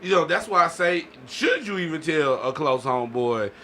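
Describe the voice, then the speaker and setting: Guy podcast host, bright punchy voice, slangy casual chat, bit of noise behind him. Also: a little high, expressive, moderate pace. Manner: slangy, peppers talk with check-in phrases.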